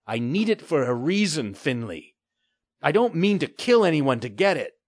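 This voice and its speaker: A man's voice: sing-song, normal speed, no room sound, studio quality. A man playing a tense young pirate boy. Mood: defiant. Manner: tense and urgent, pitched up.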